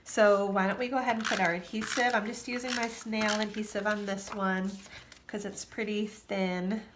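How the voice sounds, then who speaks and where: A woman's voice: mid-range voice, little pitch movement, moderate pace. Woman, nasal and congested, casual craft demonstrator, slight background hiss. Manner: chatty, with fillers and asides. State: stuffy, nasal from allergies. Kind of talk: casual tutorial.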